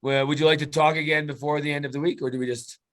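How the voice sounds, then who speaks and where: A man's voice: fairly high pitch, flat delivery, fast talker, fairly bright tone. A middle-aged man from Canada, a client talking casually on a video call, hesitant, with many restarts and fillers.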